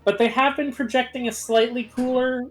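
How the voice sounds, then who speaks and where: A man's voice: extremely high pitch, steady tone, normal speed. A man in casual, playfully insistent banter with friends as he co-commentates a video game. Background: game music.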